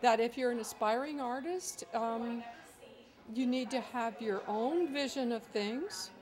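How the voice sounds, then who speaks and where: Woman artist giving gentle advice. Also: a little high, measured pace. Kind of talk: interview answers. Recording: crowd chatter behind.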